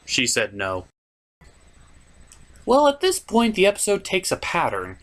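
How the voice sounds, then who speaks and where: Male review host, very lively swooping intonation, theatrical and sarcastic, scripted comedic narration. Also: sits high, a little slow. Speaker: cartoon reviewer, show host.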